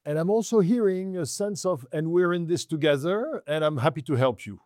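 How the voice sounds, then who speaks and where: A man's voice: fairly high pitch, animated, a little fast, a little mellow, no echo. Attentive male interviewer, dry sound. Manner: attentive, brief affirmations, composed questions. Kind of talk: interview questions.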